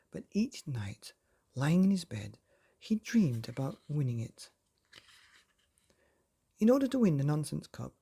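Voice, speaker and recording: A man narrating a children's bedtime storybook in a playful, expressive way, often putting questions to the listener. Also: medium pitch, creaky, breathy edge.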